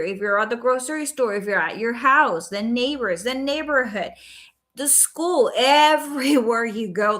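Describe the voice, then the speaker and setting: Puerto rican lady talking on a livestream from home at night, spanish is her first language, bright voice, chatty, lots of "right?". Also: fairly high pitch. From Puerto Rico. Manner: earnest, chatty, frequent "right?" and "um". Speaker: life coach hosting a livestream. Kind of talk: casual live-stream talk.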